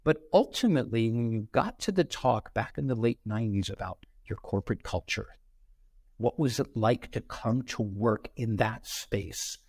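Brief: male guest, 50s, animated anecdotal speaker, mid-pitch, dry studio mic. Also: moderate pace, dry recording. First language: bilingual, Brazilian parents. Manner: animated, acts out quoted dialogue. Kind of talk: conversational interview storytelling.

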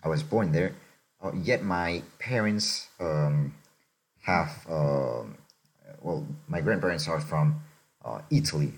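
A young man from Mexico talks through life lessons in a relaxed podcast chat, thinking aloud and checking in with "right?". His voice sits extremely low, a deep rumble under every phrase. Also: vocal fry, low-quality recording. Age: young adult. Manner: reflective, unhurried, often tags "right?". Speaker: podcast co-host.

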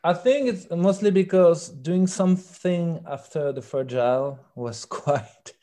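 A man's voice: on the high side, measured pace, warm tone. A man from France with a French accent answers interview questions conversationally, searching for his words and repeating himself. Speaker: interviewed band musician.

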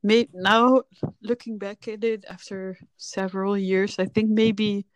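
A woman in her thirties from the Netherlands talks as a podcast guest, candid and reflective with soft laughs. Her voice is smooth and resonant, her pace slow and unhurried, and the sound is dry and close. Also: mid-range voice, flat delivery, dry recording. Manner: candid, reflective, laughs softly while telling her story. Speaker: filmmaker guest. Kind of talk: casual podcast conversation.

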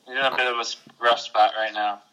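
A man's voice: mid-range voice, little pitch movement, quick pace, pushed and bright, low-quality recording. A young man co-hosting a casual sports podcast, giving matter-of-fact game updates. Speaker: podcast co-host.

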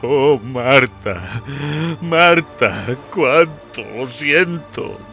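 A man's voice: mid-range voice, expressive, drawn-out words, pushed and bright, soft background music, voice pushed up, heavily acted. A man voicing a frightened, grieving, weary old grandfather in a horror audiobook. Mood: sobbing grief.

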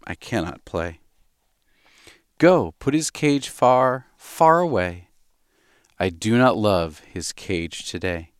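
A man reading as a sad little girl mourning her lost pet, reciting storybook verse slowly and deliberately. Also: on the low side, animated, clean tone, no echo, studio quality.

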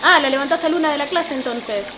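A woman's voice: high voice, noisy background, close to an everyday voice. An actress playing an exasperated, assertive wife and mother, middle-aged, with a Rioplatense Argentine accent, in naturalistic audio fiction. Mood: casual, probing. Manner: rapid, colloquial, argumentative.

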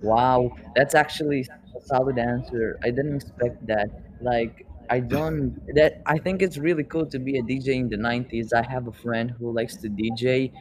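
A man's voice: mid-range voice, fairly even intonation, normal speed, few pauses, slight background noise, low-quality recording. A young man hosting a podcast, chatting loosely with upcoming rappers and asking them questions. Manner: rambling, loose, swears casually. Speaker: podcast host. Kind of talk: casual interview chat.

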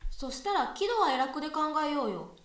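A woman's voice: high-pitched, very animated, moderate pace. A woman playing a skeptical, exacting young female university student with dry retorts in a conversational radio drama. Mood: reasoning, dry. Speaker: voice actress in amateur radio drama. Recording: echoey room, far from mic.

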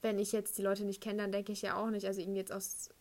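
Teen girl in her late teens just rambling, giggly and self-deprecating, slangy, medium pitch. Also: completely flat delivery, quick pace, darkish tone. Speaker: high school student sharing anecdotes. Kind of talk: casual, rambling personal chat.

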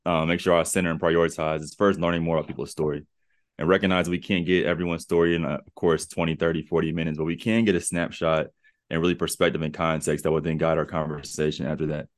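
Deep, fast-talking podcast host. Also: male voice, no echo. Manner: energetic, frequent fillers and "y'all". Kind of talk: casual podcast interview.

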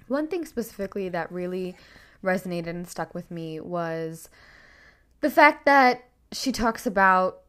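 Young woman, slightly raspy podcast host. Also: fairly low pitch, animated, a little slow, fairly bright tone. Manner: enthusiastic, chatty, lots of fillers. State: slightly raspy voice after screaming. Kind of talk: casual solo podcast book review.

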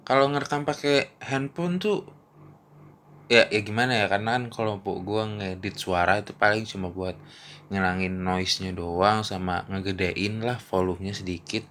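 Brief: young male podcast host, low and bright, loose rambling chat into a phone mic. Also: moderate pace, slight background noise. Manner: slangy, rambling, laughs often. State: has a mouth ulcer. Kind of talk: casual solo podcast chat.

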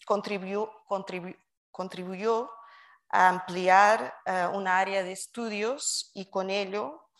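Portuguese-accented woman lecturer, low voice, slow deliberate reading pace. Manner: careful, measured reading with some restarts. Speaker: guest lecturer, anthropologist. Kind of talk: academic lecture read from a prepared text. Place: university lecture room.

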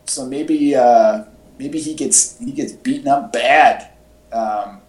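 A man's voice: medium pitch, takes it slowly, a little mellow. A man chatting casually as a podcast host, talking like an enthusiastic fan with plenty of casual slang. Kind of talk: casual pop-culture podcast chat. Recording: echoey, roomy home podcast audio.

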